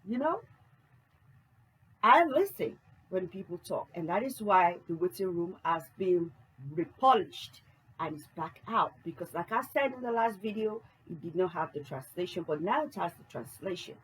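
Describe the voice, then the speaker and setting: Woman author talking books to her viewers, kinda husky low voice, slow and easy. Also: animated, low-quality recording. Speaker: author and book channel host. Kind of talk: casual talk to camera, reading reviews aloud.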